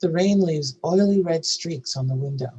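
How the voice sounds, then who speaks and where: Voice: mid-range voice, moderate pace, mellow, slightly rough. A narrator reading out scene headings and stage directions at a screenplay table read.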